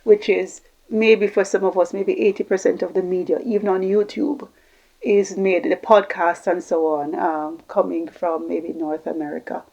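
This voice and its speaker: A woman's voice: on the low side, steady tone, moderate pace, gravelly, a little mellow, highs cut off. A woman giving an informal explanatory talk, reflective and repeating phrases for emphasis. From Jamaica, Caribbean. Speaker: host giving communication tips.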